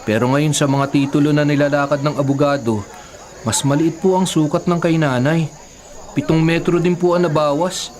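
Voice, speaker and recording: An actor playing an earnest, respectful young nephew with a Filipino accent in a radio drama, polite and matter-of-fact. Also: male voice, on the high side, steady tone, moderate pace, darkish tone, close to an everyday voice. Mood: polite concern. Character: earnest, respectful young nephew looking after family land.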